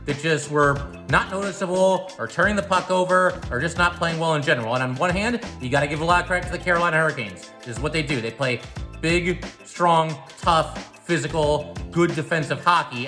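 Male hockey podcaster over clear music. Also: on the high side, pushed and bright. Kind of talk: solo sports commentary.

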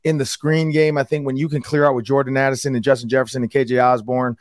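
A man hosting a sports podcast, talking in a loose, upbeat way with a hoarse voice. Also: medium pitch, little pitch movement, fast talker, no echo, studio quality. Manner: upbeat, loose, repeats words. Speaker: podcast host. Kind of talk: casual sports talk.

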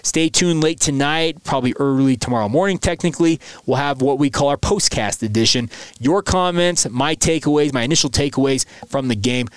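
An American man hosts a sports podcast on his own. He talks conversationally and in a folksy way, straight to his listeners. The sound is close and dry, with a mid-pitched voice. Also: a little fast, smooth, dry recording. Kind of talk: conversational solo sports commentary.

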